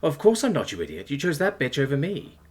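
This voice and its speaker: Male narrator as young female soldier in a novel: drunk, tearful, self-pitying, crude, raised pitch, husky edge. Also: huge pitch swings, quick pace, a little mellow. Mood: bitter, sullen. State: sobbing, drunk.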